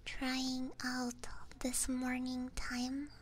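A woman livestreaming ASMR in a soft breathy whisper, chatting casually with viewers close to a binaural microphone. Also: sits high, completely flat delivery, extremely slow, slight background noise. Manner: casual, chatty, frequent swearing. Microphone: binaural ear microphone, close up.

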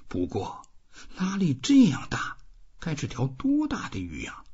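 A man voicing a weary, determined old fisherman who thinks aloud in slow, brooding storybook self-talk. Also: mid-range voice, a little mellow, breathy edge, highs cut off, full character voice.

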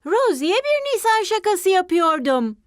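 A performer voicing a cheerful, curious little boy in a children's cartoon dub, with an eager, expressive childlike delivery. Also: very high voice, a little slow, no room sound, clean studio sound, heavily acted. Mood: playful.

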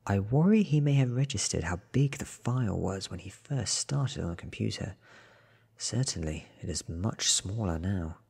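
Very low, breathy man reading softly. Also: expressive, normal speed. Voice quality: breathy, often slipping into whisper. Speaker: reader. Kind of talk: soft-spoken ASMR audiobook reading.

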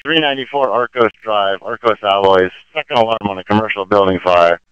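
A man's voice: lowish voice, fairly quick, a bit bright, clean tone, no echo. Man on muffled two-way radio. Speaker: fire service radio operator. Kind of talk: emergency radio traffic. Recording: muffled, band-limited radio transmission.

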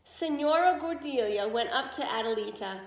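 A woman's voice: sits high, animated. Woman reading a story aloud, echoey room. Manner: pauses to ask listeners questions and explain words. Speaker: storyteller reading a children's picture book aloud. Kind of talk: expressive read-aloud narration with asides to listeners.

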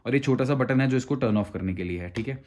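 Man, thirties, casual tutorial, conversational, step-by-step, English phrases mixed in, mid-pitched voice. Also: fast, darkish tone. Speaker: motorcycle gear reviewer. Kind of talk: casual hands-on product tutorial.

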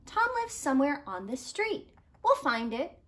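A woman voicing a patient, reassuring mother in a storybook, gentle and encouraging. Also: sits high, sing-song, a little slow, a little hiss or hum, heavily acted.

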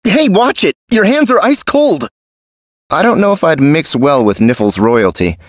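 An unpretentious young rogue from a video game, acted by a man. His mid-pitched voice is wry and casual, with animated intonation. The recording is dry. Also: moderate pace, no room sound, natural voice.

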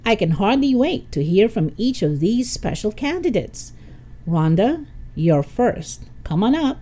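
A woman voicing a cheerful male schoolteacher, measured and formal, in a storybook reading. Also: mid-range voice, big pitch swings, mellow. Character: cheerful male schoolteacher moderating a class debate.

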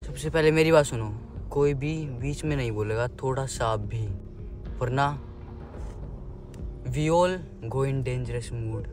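A young man chatting on a vlog, bossy and animated with his friends. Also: mid-range voice, slow pace, long pauses, over music. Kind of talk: casual chat.

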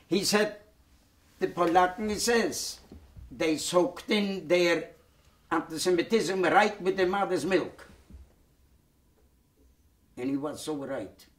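A man, giving oral history testimony in a halting way, with many repeated words and restarts. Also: high voice. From Poland. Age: 90s. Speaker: Holocaust survivor giving testimony. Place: dark studio set, indoors.

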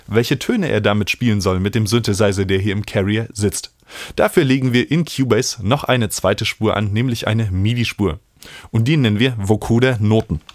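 A man, calmly explaining music software step by step as a tutorial host. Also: on the low side, no room sound, clean studio sound. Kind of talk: screen-recorded software tutorial.

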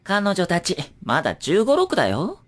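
A woman's voice: mid-range voice, huge pitch swings, measured pace. Sly, lilting teenage boy. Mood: teasing. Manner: breezy, teasing, knowing. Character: sly, playful teenage boy informant with cool confidence. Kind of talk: anime audio drama acting.

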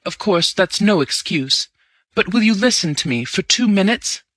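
A man voicing a desperate young gentleman who has lost everything, pleading and anguished. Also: on the high side, drawn-out words, vocal fry, dry recording, exaggerated voice.

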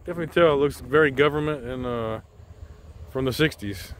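A man's voice: mid-range voice, brisk, slight background noise, low-quality recording. A middle-aged man giving casual, unscripted vlog commentary in a hesitant, rambling way. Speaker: vlog host.

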